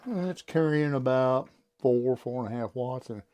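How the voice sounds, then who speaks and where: A man giving a casual product demonstration in a folksy, halting, unscripted way, as someone who works on radio gear. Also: medium pitch, warm tone. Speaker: radio seller and technician demonstrating equipment.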